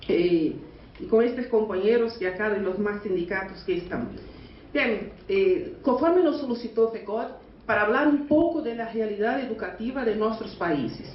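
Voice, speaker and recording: A woman's voice: mid-range voice, slight background noise. Brief: Brazilian woman, Portuguese accent, earnest union speaker, far off in an echoey room. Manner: halting Spanish mixed with Portuguese, earnest. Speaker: union delegate. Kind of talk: conference speech. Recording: echoey, distant, muffled hall recording.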